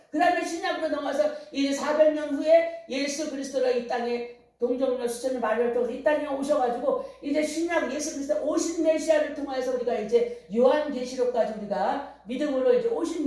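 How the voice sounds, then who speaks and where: A woman's voice: high voice, dark tone. A middle-aged woman pastor preaching a revival sermon, telling stories and urging the congregation to repent. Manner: preaching with storytelling, fervent calls to repent. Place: church hall. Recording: very echoey hall, far from the mic.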